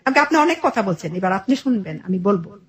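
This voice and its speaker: A woman's voice: mid-range voice, very animated, quick pace. A middle-aged woman with a Bangladeshi accent telling a personal story in conversation, earnestly and in detail, often quoting dialogue. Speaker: interview guest telling her life story.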